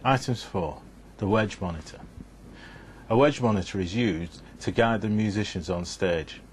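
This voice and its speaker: A man's voice: on the low side, normal speed, slight background noise. A man giving a scripted, methodical instructional narration in an explanatory way.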